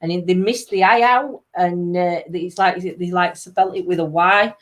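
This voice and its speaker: A woman's voice: fairly low pitch, steady tone, unhurried. Chatty woman hosting a livestream. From Wales. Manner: chatty, laughing often, reads comments aloud. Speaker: livestream host. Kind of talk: casual livestream chat.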